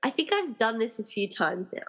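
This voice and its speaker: A woman's voice: on the high side, very animated, moderate pace, breathy edge, exaggerated voice. A woman playing an unfazed, weary rescuer of friends in a conversational comedy radio play. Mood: matter-of-fact.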